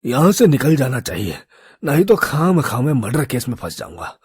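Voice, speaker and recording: A woman voicing a drunken, lecherous male street thug with a husky, coarse, threatening way of talking, in an audio story. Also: very deep voice, very animated, normal speed, darkish tone, no room sound, clean studio sound, pitched below the performer's own voice, heavily acted. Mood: angry, alarmed.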